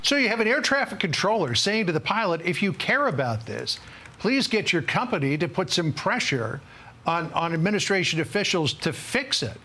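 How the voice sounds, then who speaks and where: A man's voice: high voice, sing-song, normal speed, sharp tone. A man working as a news anchor, interviewing a guest in an emphatic broadcast style that repeats words for stress.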